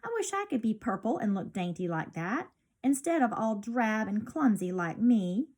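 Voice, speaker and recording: A woman voicing a small, fuzzy male caterpillar who wishes he were someone else, in a gentle storybook manner. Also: on the high side, lively intonation, moderate pace. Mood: wistful.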